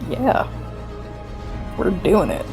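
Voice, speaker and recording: Slow-talking joking woman, music behind. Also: mid-range voice, huge pitch swings, gravelly. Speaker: tabletop game player. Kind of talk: casual playful game banter.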